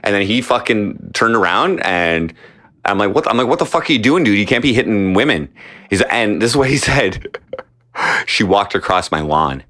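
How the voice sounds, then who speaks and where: A man's voice: on the low side, big pitch swings, moderate pace, fairly bright tone. A man telling a long story in casual podcast chat, rambling, swearing a lot and restarting his sentences. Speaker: streamer guest.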